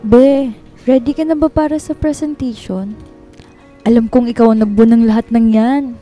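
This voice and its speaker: A woman's voice: on the high side, steady tone, moderate pace, dark tone, soft background music, close to an everyday voice. An actress playing a supportive, concerned female office friend in a radio drama, chummy in manner. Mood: encouraging.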